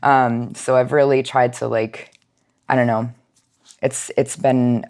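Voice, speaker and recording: A woman with a strikingly low voice gives a reflective interview. She speaks conversationally, with halting phrases as she searches for what she means. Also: level tone, a little mellow. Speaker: nonprofit outreach manager, podcast guest. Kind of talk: reflective personal interview talk.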